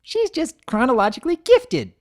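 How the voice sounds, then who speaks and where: A woman's voice: high voice, very animated, normal speed, voice pushed up. A woman voicing a hyperactive, giggly young pony mare. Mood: giddy. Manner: bubbly, giggling.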